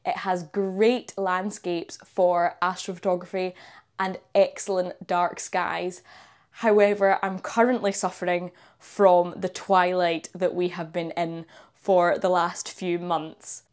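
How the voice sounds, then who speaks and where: A woman's voice: on the low side, flat delivery, slow pace, darkish tone. Young scottish woman vlogging, upbeat and chatty, lapel mic in a small room. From Scotland. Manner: upbeat, chatty, uses local words like wee and yous. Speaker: astrophotography channel host. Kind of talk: enthusiastic vlog and screen walkthrough. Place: small indoor room by a coat rack. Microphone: clip-on lapel mic.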